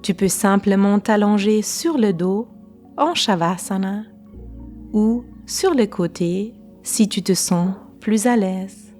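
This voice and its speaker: A woman guiding a relaxation meditation in a calm, unhurried way, pausing between short phrases. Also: mid-range voice. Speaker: guided meditation teacher. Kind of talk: slow guided relaxation meditation. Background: faint music.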